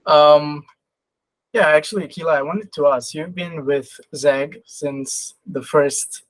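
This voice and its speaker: A man's voice: a little high, slow, no echo, rough recording. A man hosting a podcast interview in a casual, conversational style.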